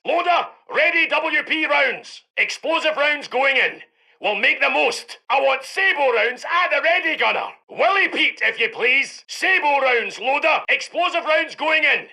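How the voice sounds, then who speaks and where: British heavy tank commander for a strategy game: gruff, commanding, gravelly, sharp and forceful barks. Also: male voice, extremely high pitch, full character voice, poor audio. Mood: firm, commanding. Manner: barking short orders forcefully. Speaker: game voice actor. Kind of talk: shouted military game barks.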